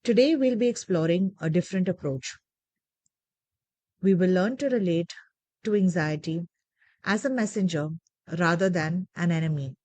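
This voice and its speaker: Low, mellow woman guiding meditation. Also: no room sound. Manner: gentle, measured, soothing instruction with deliberate pauses. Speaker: meditation guide. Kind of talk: guided meditation narration.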